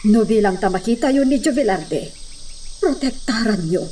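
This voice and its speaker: An actress playing the grave, protective mistress of a mansion in an Ilocano radio drama, speaking with weight and authority. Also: female voice, a little high, animated, normal speed, warm tone, slight background noise, lightly acted.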